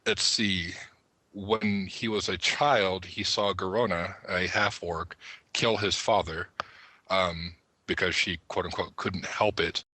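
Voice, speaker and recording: Deep, husky man explaining his points. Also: steady tone, slow, pushed and bright, no room sound. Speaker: podcast panelist. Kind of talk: casual panel discussion.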